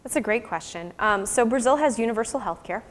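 A woman's voice: on the high side, expressive, a little fast. An American woman researcher giving an academic talk and answering questions informally, thoughtful and explanatory in her phrasing. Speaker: researcher presenting fieldwork and answering audience questions. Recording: long hall reverb.

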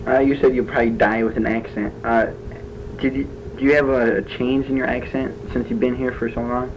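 A man's voice: medium pitch, flat delivery, fairly quick. Guy interviewer, hesitant questions, lo-fi muffled sound, bit of hiss. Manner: hesitant, questions with many fillers. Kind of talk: oral history interview. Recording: dull lo-fi recording with faint hiss.

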